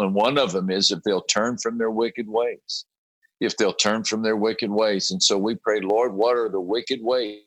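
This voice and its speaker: A man, a pastor, with a raspy voice after a late night, talking in halting conversation, often repeating words and clearing his throat. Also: on the low side, a bit bright, no echo, poor audio. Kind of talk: conversational interview about a prayer group.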